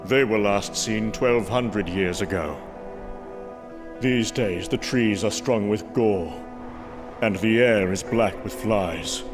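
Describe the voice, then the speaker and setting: An actor playing an earnest, grave warrior prince and god of thunder, speaking formally and solemnly in an audio comic drama. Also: male voice, lowish voice, takes it slowly. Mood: grim, solemn. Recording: music bed under the voice. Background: music.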